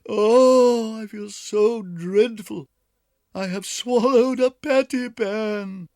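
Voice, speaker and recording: A man's voice: medium pitch, wildly swooping pitch, unhurried, husky, no room sound, studio quality, voice pushed up, full character voice. A man voicing a greedy, anxious female dog who whines and moans melodramatically. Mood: wailing. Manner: whining, melodramatic.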